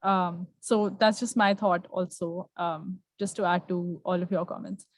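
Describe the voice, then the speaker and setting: A woman's voice: lowish voice, fairly even intonation, unhurried, dry recording. Woman moderating, dry close sound. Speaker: panel moderator. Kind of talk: conversational panel moderation.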